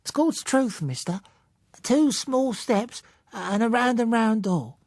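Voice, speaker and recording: Audiobook character: elderly working-class London woman, played by a man, raised strained pitch, plaintive, colloquial, halting delivery. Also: lively intonation, no room sound, clean studio sound, full character voice. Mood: halting, insistent. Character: huddled old blind woman, wary, plaintive, uneducated.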